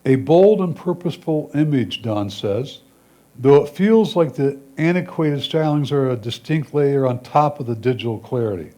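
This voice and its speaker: A man's voice: mid-range voice, expressive, moderate pace, warm tone. Man reading out written critiques. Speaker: reader of judges' critiques.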